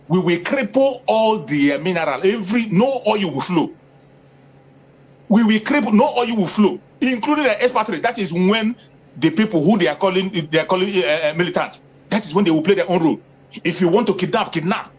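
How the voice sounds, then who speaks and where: A man's voice: animated, fairly quick, slight background noise. A man, speaking as an activist in an interview, emphatic, repeating phrases for force. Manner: emphatic, repeats phrases for force, rising pitch when stressing points. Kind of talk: impassioned political interview talk. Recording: dull lo-fi audio.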